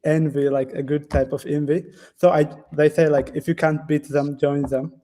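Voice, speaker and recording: Ethiopian man, dark voice, flat delivery. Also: on the high side. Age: 20s. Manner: halting, many fillers and restarts, non-native phrasing. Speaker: guest developer. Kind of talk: casual interview answers. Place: classroom.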